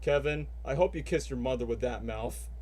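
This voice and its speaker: A man's voice: medium pitch, moderate pace, darkish tone. A man ranting and chatting casually as a live streamer before reacting to cutscenes, rambling with lots of fillers. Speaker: streamer and voice actor.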